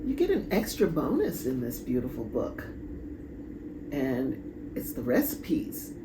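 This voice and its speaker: A woman reading a children's story aloud in a dramatic, deliberate way with pauses. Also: extremely low pitch, huge pitch swings. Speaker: storybook reader. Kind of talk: expressive children's read-aloud. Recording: echoey room, clear background noise.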